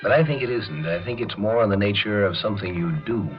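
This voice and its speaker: A man hosting a 1950s radio show with measured, philosophical commentary. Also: fairly low pitch. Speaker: host. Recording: dull vintage radio, music behind.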